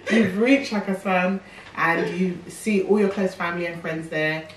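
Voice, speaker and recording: A woman's voice: fairly low pitch, expressive, slow, background noise. A young woman co-hosting, setting up scenario questions in a casual chat. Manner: reads out questions, plays along. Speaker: co-host asking questions. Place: living room, indoors. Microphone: far camera mic. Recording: echoey room from far off.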